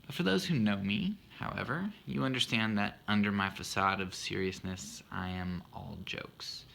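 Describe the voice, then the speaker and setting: A man's voice: on the low side, moderate pace, creaky, a bit bright, slight background noise. A man in his thirties, introducing a poem he wrote, earnest and self-deprecating as he muses aloud. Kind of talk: reflective spoken introduction.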